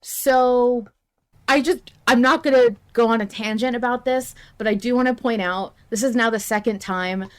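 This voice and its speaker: A woman hosting a casual fan discussion of an audio drama, talking in an animated way and repeating phrases for emphasis. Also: on the high side, normal speed, clear, resonant tone. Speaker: podcast host.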